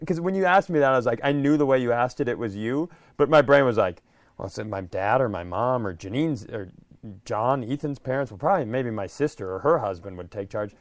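An American man chatting casually as a podcast co-host, with halting delivery and self-deprecating humour. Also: mid-range voice, expressive, no echo.

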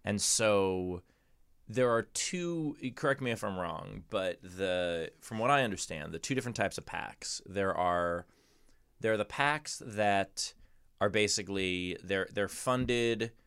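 A man's voice: lowish voice, measured pace, a bit bright, clean tone, dry recording. A man hosting a casual interview show in a studio, talking conversationally and reworking his sentences as he goes. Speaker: podcast host and interviewer.

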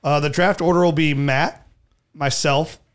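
A man's voice: a little high, fairly even intonation, unhurried, clear, resonant tone. A man hosting a casual podcast while sick with a cold, talking in an easygoing, rambling way and cracking jokes. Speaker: podcast host.

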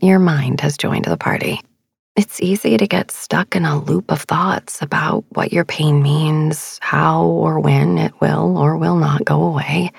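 Woman, low-pitched, heavy vocal fry, soft breathy edge, gentle meditation narration, reassuring. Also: no room sound, clean studio sound. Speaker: podcast host. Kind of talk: soothing sleep meditation narration.

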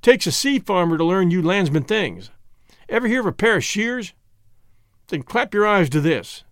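Man acting a scornful salty sailor, cocky, bossy, colloquial, animated delivery, dry studio-like sound, storybook adventure. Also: high voice, moderate pace, no room sound. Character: cocky, resourceful old-salt sailor, scornful of landsmen.

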